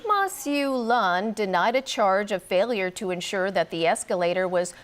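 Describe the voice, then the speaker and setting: A woman's voice: mid-range voice, animated, normal speed. A woman reading scripted television news as an anchor.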